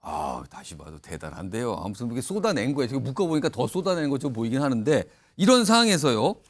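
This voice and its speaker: A man hosting a news panel show in a lively, conversational anchoring style. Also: mid-range voice, quick pace.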